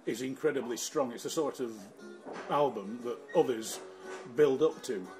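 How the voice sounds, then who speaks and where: A man's voice: medium pitch, normal speed, low-quality recording. Middle-aged male interviewer with music behind. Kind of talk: casual, chatty interviewing.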